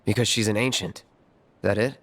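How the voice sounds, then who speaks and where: An actor playing a stoic young mercenary hero in a video game, with a clipped, understated delivery. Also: male voice, on the low side, steady tone, moderate pace, a little hiss or hum, close to an everyday voice. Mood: guarded, calm.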